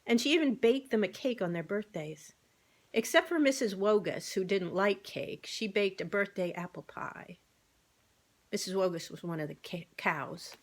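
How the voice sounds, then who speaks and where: A woman's voice: medium pitch, expressive, moderate pace. A woman casually reading a children's book aloud as narrator, with informal asides. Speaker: amateur storybook reader.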